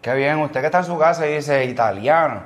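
A man's voice: fairly high pitch, fairly quick. A man in his thirties with a Puerto Rican accent, hosting an upbeat promotional interview in a restaurant and speaking straight to viewers. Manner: energetic, chatty, addresses viewers directly. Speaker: tourism TV host. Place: indoors at a restaurant bar.

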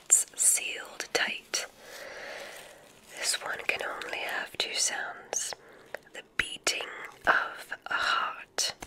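A woman playing a kindly witch examiner in an ASMR roleplay, whispering in a deliberate, encouraging way with theatrical phrasing. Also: close to an everyday voice, poor audio. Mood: mysterious, soothing. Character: kindly witch examiner testing a magic school applicant. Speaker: ASMR performer.

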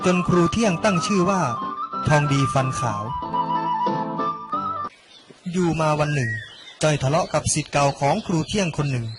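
A young man narrates an animated story from a script. His voice sits slightly high for a man, and music plays clearly underneath the narration throughout. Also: moderate pace, long pauses. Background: loud background music.

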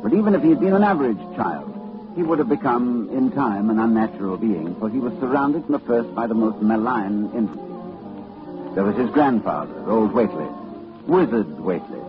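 An actor playing a grave, urgent professor narrating horror in a formal, portentous 1940s radio style. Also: male voice, lowish voice, animated, normal speed, over music, natural voice. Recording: muffled 1940s radio recording.

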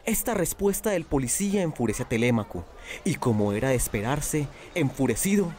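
A man's voice: medium pitch, sing-song, fairly quick, a little mellow. A man narrating a radio drama in a scripted, dramatic storytelling style.

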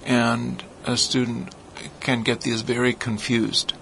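A man's voice: mid-range voice, completely flat delivery, slow, creaky, fairly bright tone, slight background noise. A man speaking as a guest on a radio talk, patiently explaining ideas with some fillers. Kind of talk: casual explanatory conversation.

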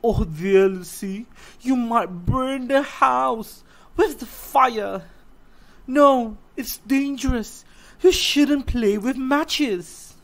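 A woman voicing a gentle, worried mother who warns her child in a soft, sing-song way. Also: on the high side, very slow, pitched above the performer's own voice. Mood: cautioning.

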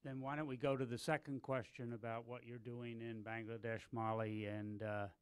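A man moderating a panel's question time from a conference hall stage, polite and brisk. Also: mid-range voice, little pitch movement, clear, resonant tone.

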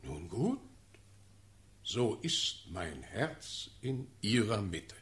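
An actor playing a stern, self-assured ruling prince in a classical verse radio drama, declaiming with measured authority. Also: male voice, lowish voice, very animated, fairly bright tone, husky, exaggerated voice. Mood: calm authority.

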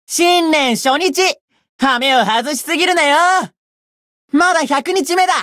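A woman voicing a cocky, bratty young demon who boasts pompously in rough slang, in a Japanese game character style. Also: high-pitched, unhurried, a bit bright, no echo, clean studio sound. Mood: boastful, gleeful.

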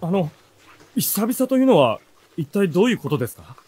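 Earnest, animated bookish teenage youth. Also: male voice, high voice, brisk, breathy edge, exaggerated voice. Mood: puzzled. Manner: expressive, earnest storytelling. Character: earnest seventeen-year-old youth, bookish runaway storyteller. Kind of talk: radio drama narration and dialogue.

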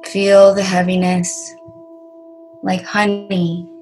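A woman leading a guided meditation in a soothing, deliberate way, leaving long pauses between short phrases. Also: medium pitch, flat delivery, darkish tone, faint music behind, poor audio.

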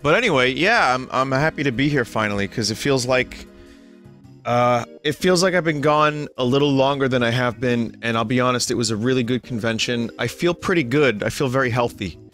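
A young man, a little hoarse and tired, chatting casually and rambling to his stream viewers from home. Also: medium pitch, a little fast, fairly bright tone, faint music behind. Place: home room.

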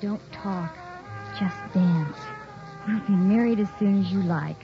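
Woman playing affectionate young fiancée, languid slow delivery, old radio drama, muffled recording, music behind. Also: mid-range voice, close to an everyday voice. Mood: tender, dreamy. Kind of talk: 1960s radio drama acting.

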